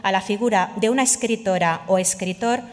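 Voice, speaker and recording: A woman's voice: mid-range voice, a little fast. A woman formally reads out official minutes at a ceremony. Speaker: official reading jury minutes. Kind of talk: formal document reading. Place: large indoor hall. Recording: reverberant ceremony hall.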